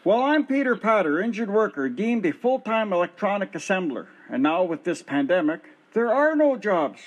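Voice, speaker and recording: A man doing an exasperated injured worker, husky, high voice, lively swings. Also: moderate pace, muffled recording. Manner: exasperated, insistent. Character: frustrated middle-aged male injured worker. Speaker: caller. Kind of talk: amateur radio play acting.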